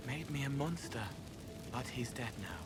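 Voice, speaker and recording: An actor playing a gentle, haunted young man in a fantasy game, halting and earnest. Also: medium pitch, lively intonation, natural voice. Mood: haunted, grateful. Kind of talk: dramatic game dialogue. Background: loud music.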